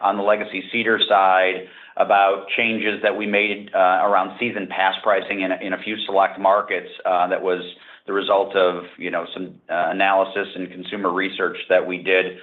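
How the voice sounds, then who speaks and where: A man speaking as a company executive, explaining things off the cuff on an earnings call in measured, hesitant phrases. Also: low voice, level tone. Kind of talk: unscripted answers on an earnings call. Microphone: conference call line. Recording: narrow, phone-like conference call audio.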